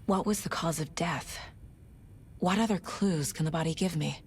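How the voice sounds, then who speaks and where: Game agent woman acting: analytical, composed, lower-pitched female voice, measured delivery over noisy ambience. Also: darkish tone, close to an everyday voice. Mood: focused, pondering. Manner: measured, matter-of-fact. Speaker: investigator.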